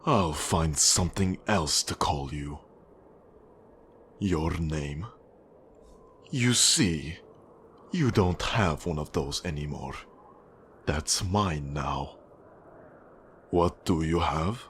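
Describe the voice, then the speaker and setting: A deep-voiced Russian mob boss, acted by a man for an ASMR roleplay, speaks over noticeable background noise. He takes his time with each phrase, cold and controlling. His Russian accent carries a condescending, menacing edge. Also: a bit bright, heavily acted. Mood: cold, threatening. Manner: slow, deliberate, controlling, condescending. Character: cold, menacing Russian male mafia boss and accountant, power-hungry. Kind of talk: ASMR roleplay monologue addressed to the listener.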